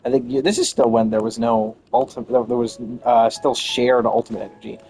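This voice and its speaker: A man's voice: medium pitch, level tone, brisk, vocal fry, a little mellow, slight background noise. A man giving casual, rambling gameplay commentary in an offhand way. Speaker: gamer, streamer. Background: game music.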